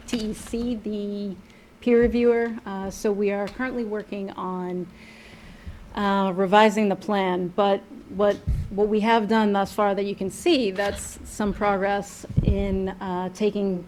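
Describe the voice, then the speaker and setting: A woman engineer presenting revised site plans to a town board, loud and full of fillers. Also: mid-range voice, slight background noise. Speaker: project engineer. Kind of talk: public presentation. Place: town meeting room.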